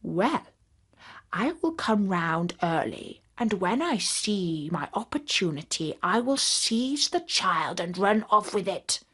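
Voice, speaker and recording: A woman voicing a wise, helpful male wild boar in a storybook tale, laying out advice in a measured way. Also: medium pitch, sing-song, fairly bright tone, husky, heavily acted. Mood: confident, scheming.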